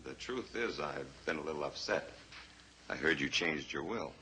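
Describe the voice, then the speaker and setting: A cold, menacing nephew. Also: male voice, rumbling low, moderate pace, exaggerated voice, low-quality recording. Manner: calm, coldly polite menace. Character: cold scheming nephew, adult man, ruthless. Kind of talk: 1950s radio drama acting. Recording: old radio recording with faint hiss.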